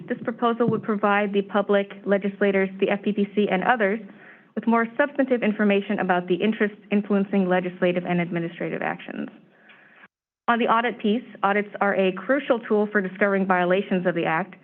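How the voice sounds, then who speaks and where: Woman, slightly hoarse, steady formal briefing, mid pitch, tinny phone audio. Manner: steady, measured, reads prepared remarks. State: slightly hoarse, clearing throat. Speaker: staff presenter at a commission meeting. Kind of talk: formal briefing on proposed legislation.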